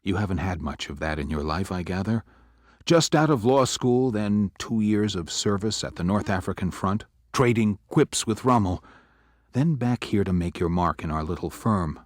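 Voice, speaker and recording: A man's voice: low-pitched, normal speed, no room sound, clean studio sound. An actor playing an older male law firm partner who is jovial and teasing, in an audio drama. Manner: avuncular, wry and lively.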